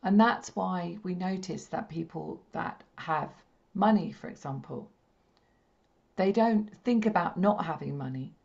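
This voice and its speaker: A woman with a slightly croaky, hoarse throat, leading a spiritual healing session in a gentle, repetitive, soothing way. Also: fairly low pitch, unhurried. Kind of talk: slow meditative teaching talk. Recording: dull lo-fi stream audio.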